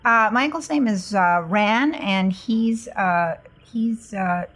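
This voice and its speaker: A woman's voice: mid-range voice, takes it slowly, fairly bright tone. Hesitant woman playing tabletop game. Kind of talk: casual improvised game talk.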